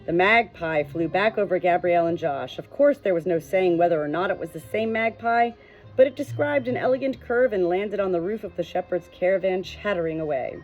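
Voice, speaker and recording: A woman narrates a story from a script in a fairly low voice. The recording is slightly dull, and music plays behind her. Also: expressive, fairly quick. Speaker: audiobook reader.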